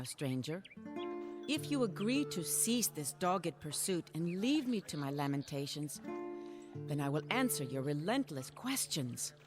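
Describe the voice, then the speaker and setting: A woman playing a proud, sorrowful storyteller in dramatic scripted game narration. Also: low voice. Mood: exasperated. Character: proud, sorrowful loyal storyteller of a fallen knight.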